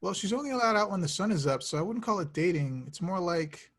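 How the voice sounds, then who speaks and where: A man playing a defensive, awkward young slacker in a table read, speaking casually and deadpan. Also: high-pitched, fast, heavily acted. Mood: earnest, rambling.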